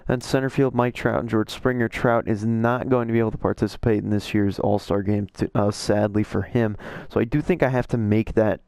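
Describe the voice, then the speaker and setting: A hoarse man with a low, gravelly voice talks casually through his opinions as a YouTube creator. He rambles and corrects himself as he goes. The sound is dry and close. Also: fairly even intonation, a little fast, hardly any pauses, darkish tone, no room sound. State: hoarse, voice a little shot. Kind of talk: casual commentary over an on-screen roster.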